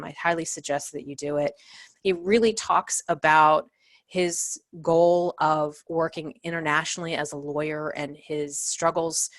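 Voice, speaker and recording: A woman's voice: sits low, fairly bright tone, dry recording. A woman in her forties hosting a chatty interview podcast, speaking in an effusive, emphatic way. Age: 40s. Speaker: podcast host.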